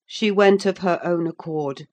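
A woman voicing a proud, agitated gentleman, master of a manor, formal and emphatic, in an audiobook. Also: lowish voice, measured pace, lightly acted. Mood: cold resignation. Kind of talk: dramatised audiobook character voice.

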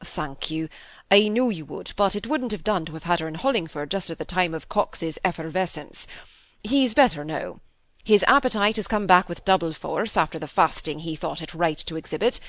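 Lady narrator doing a sensible gentleman in an old novel, middle-aged, dry, bit impatient, lively pitch. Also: normal speed, no room sound. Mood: dry, explaining.

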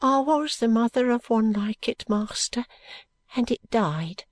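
A weary, poor young mother with a soft, plaintive, hushed way of speaking and rough country grammar, as a woman puts her on for a storybook reading. Her voice sits high above the reader's own, close and dry. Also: animated, a little slow, no room sound. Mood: sorrowful.

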